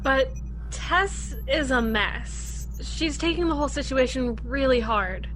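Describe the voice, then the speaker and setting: Young woman as a bright, high-voiced caring girl. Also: slow pace, soft background music, poor audio. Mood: concerned. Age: young adult. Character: kind, practical teenage girl crewmate. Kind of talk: radio play acting.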